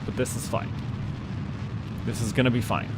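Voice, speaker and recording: A man's voice: mid-range voice, steady tone, normal speed, heavy background noise. A Canadian man giving casual, playful live commentary as he hosts a gaming stream, slipping into mock-official mission-control talk. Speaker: livestream gamer host.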